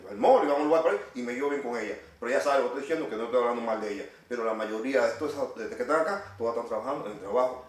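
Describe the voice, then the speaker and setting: A middle-aged man with a Cuban Spanish accent, ranting to camera in an indignant, scolding, repetitive way. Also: fairly high pitch. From Cuba. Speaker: vlogger. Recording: echoey room, distant from mic.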